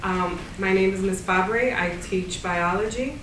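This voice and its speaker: A woman's voice: mid-range voice, unhurried. A woman from the United States, a biology teacher, introducing herself to an assembly in a noisy, echoey hall. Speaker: high school biology teacher. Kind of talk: bilingual self-introduction. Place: school assembly stage. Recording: echoey hall, distant mic, clear noise.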